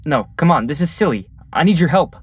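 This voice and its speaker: A woman's voice: low-pitched, expressive, fairly quick. An actress playing a hesitant, confused teenage boy full of questions in an audio sci-fi drama. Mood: uneasy, pleading. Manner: hesitant, unsure. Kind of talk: audio-fiction drama dialogue.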